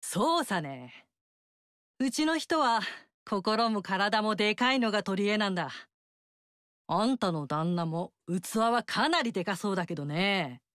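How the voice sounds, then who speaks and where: A woman's voice: mid-range voice, expressive, a little slow, fairly bright tone, no room sound. An actress voicing a brash, motherly fire-goddess warrior woman in a studio game scene, talking with bold, teasing, big-sister swagger. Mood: warm. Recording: dry studio recording.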